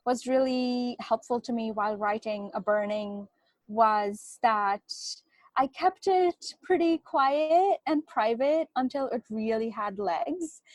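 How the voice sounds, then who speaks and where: A woman whose first language is Bengali speaks as a guest author, thoughtful and measured, often slowing down as she reflects. Her voice sits a little high for a woman. The sound is dry and close, with no room around it. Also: a little mellow, no echo. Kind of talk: thoughtful interview answers.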